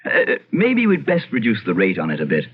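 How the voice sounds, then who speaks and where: An actor playing a pompous Irish gentleman landowner, emphatic and often interrupting himself, in an old-time radio drama. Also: on the low side, huge pitch swings, brisk, slightly rough, heavily acted. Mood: indignant. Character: blustery Irish gentleman landowner, imperious, skeptical yet uneasy. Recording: muffled, hissy old radio recording.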